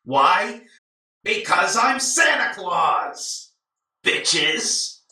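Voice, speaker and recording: A man voicing a sinister, gloating Santa Claus villain in a theatrical, taunting, drawn-out way for an audio drama. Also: sits high, lively intonation, sharp tone, heavily acted. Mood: smug, taunting. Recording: echoey room, distant from mic.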